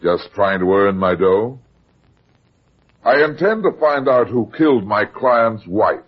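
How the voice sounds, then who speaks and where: An actor playing a brusque, sardonic, wisecracking private detective in a vintage radio drama. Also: male voice, on the low side, sing-song, unhurried, breathy edge, full character voice. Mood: impatient. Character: hard-boiled, wisecracking male private detective. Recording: muffled vintage radio recording.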